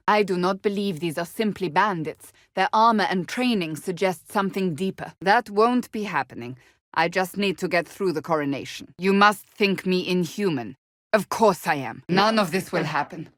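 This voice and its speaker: A woman playing a low-voiced, stern warrior woman. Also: no room sound, studio quality, natural voice. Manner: formal, blunt, earnest, commanding. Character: stern, devout warrior woman, a blunt holy-order Seeker. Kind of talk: fantasy video game voice acting.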